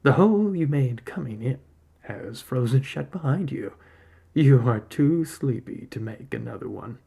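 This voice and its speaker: A massive male spirit creature of the arctic, as a male narrator acts it out, husky and dark in tone. It is sly and needy, with slow, commanding speech in a storybook telling. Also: mid-range voice, expressive, full character voice. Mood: menacing.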